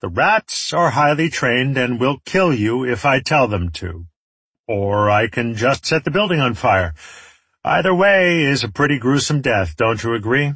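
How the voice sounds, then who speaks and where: A man voicing a menacing male warlord in an audiobook, taunting and mockingly genteel in a theatrical, drawn-out way. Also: mid-range voice, expressive, fairly bright tone, husky, no room sound, voice pushed up, exaggerated voice. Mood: smug, menacing.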